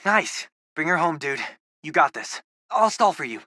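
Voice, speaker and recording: Lively, wry teenage tech-geek buddy. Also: male voice, a little high, moderate pace, no echo, studio quality. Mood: excited. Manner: casual, wry, chatty. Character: geeky, upbeat teenage boy, loyal wisecracking best friend. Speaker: video game voice actor. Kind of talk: video game companion dialogue.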